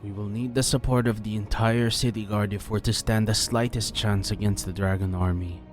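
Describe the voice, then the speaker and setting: A man voicing a grave, measured male ninja master bringing ill news. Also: fairly low pitch, steady tone, music in the background, voice pushed up, close to an everyday voice.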